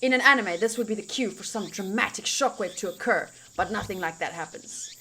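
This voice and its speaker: A young woman reading visual novel narration aloud as a streamer, expressive and informal, with casual asides. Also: medium pitch, brisk, slight background noise.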